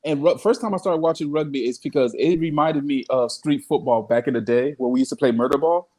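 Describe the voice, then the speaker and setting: Fast-talking man who repeats himself. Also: fairly high pitch, mellow. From Broward County, Florida. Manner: rapid, repeats phrases, laughs often. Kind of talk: casual podcast chat.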